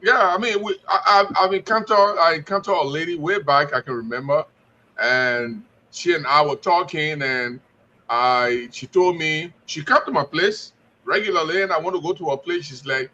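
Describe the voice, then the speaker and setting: Confident bright-voiced man panelist. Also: a little high, moderate pace, muffled recording. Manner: confident, storytelling with quoted dialogue. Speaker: studio guest panelist. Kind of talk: opinion talk.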